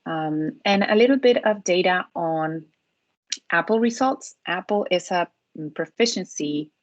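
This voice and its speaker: A woman's voice: fairly low pitch, a little slow, no room sound. A woman from Spain, a native Spanish speaker, presenting a language program over a video call, with frequent ums and restarts as she explains.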